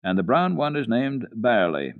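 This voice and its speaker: A man voicing a kindly old grandfather in a children's storybook, speaking in plain, measured instructions. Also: medium pitch, sing-song, narrow, tinny audio, pitched above the performer's own voice. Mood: instructing.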